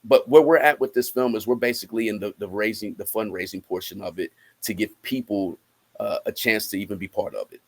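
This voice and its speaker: A man with a lowish voice speaks as an interview guest. He often repeats a word before carrying on, and he drops in "right?" at the ends of phrases. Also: a little fast. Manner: repeats words and says "right?" as a tag. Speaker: guest musician promoting a film project. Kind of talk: interview answers.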